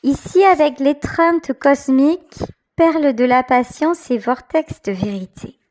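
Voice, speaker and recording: A woman with a high voice gives a tarot reading, speaking directly to her viewers. Her pace is very slow, with frequent pauses as she searches for the right words. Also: a little mellow. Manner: hesitant, searching for words, pausing often. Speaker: tarot reader, channel host. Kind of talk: intuitive tarot card reading addressed to viewers.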